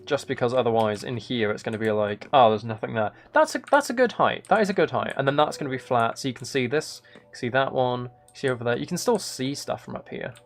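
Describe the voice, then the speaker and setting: Soft-spoken man musing over a game. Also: mid-range voice, expressive, fast, few pauses, soft background music. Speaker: livestream host. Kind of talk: casual gaming livestream chat, thinking aloud while building.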